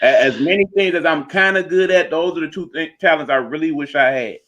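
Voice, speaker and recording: A man's voice: fairly high pitch, brisk, fairly bright tone, muffled recording, slight background noise. A man co-hosting a casual live chat, easygoing and affirming.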